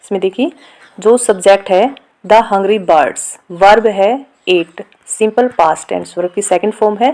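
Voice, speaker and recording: A woman with an Indian accent explaining a lesson step by step as a teacher, reading out examples slowly and deliberately. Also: mid-range voice, dark tone, low-quality recording. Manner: instructional, reads example sentences slowly and deliberately. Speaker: grammar teacher. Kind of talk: step-by-step lesson explanation mixing Hindi and English.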